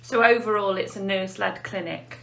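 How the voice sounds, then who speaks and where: A woman's voice: mid-range voice, fairly even intonation, moderate pace, vocal fry, fairly bright tone, poor audio. An English woman, a nurse specialist, interviewing a colleague with plain scripted questions.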